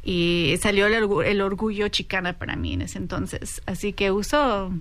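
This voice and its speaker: A woman's voice: mid-range voice, moderate pace. A woman guest on a radio panel who identifies as Chicana, slipping English phrases into her speech. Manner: mixes in English phrases, lively.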